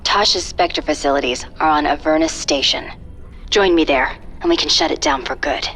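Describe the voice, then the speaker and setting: A woman's voice: low-pitched, moderate pace, a bit bright. A woman voicing a cool, determined psychic covert agent in a video game, controlled and military in tone. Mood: businesslike briefing. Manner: controlled, crisp, military briefing tone. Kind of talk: video game cutscene acting.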